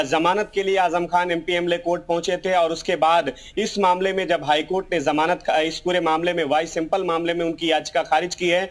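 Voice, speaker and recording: A man works as a field reporter on a live news report. His voice is high-pitched, and his phrasing is halting and circles back on itself.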